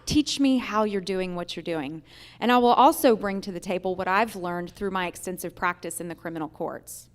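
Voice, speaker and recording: A woman giving earnest, deliberate answers as a candidate for judge at a public forum. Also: on the low side.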